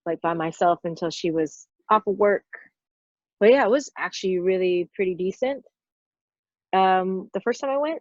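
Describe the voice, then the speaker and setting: A woman in her forties, talking as a podcast guest, who tells her stories in a loose, rambling way with fillers sprinkled throughout. The recording sounds a bit dull and lo-fi, with a dry, close sound and no room echo. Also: fairly low pitch. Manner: rambling storyteller, lots of "like" fillers. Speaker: podcast guest, stunt performer. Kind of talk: casual interview chat.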